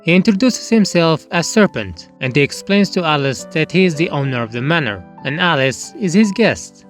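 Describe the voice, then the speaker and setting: A man's voice: on the high side, lively intonation, normal speed, faint music behind. A man narrating a scripted review with comic asides. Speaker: manga review YouTuber, narrator.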